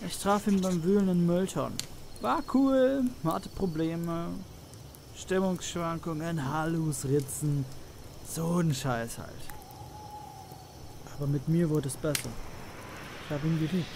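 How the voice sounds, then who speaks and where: Slangy oddball young drifter played by a man, blunt, dramatic game character, night insects clearly audible. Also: a little high, unhurried, long pauses, darkish tone, a little hiss or hum. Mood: frank storytelling. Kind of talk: German fan dub of a video game. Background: crickets and night ambience.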